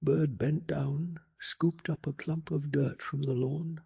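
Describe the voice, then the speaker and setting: A man softly narrating a bedtime story in a hushed, slow and deliberate ASMR style, with a breathy, gravelly voice. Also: on the high side, steady tone. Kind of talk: soft-spoken ASMR scripted story narration.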